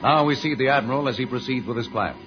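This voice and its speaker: A man's voice: medium pitch, fast talker. A man announcing an old radio adventure serial, narrating a script in a dramatic, declamatory way. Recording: old muffled radio recording, loud organ music.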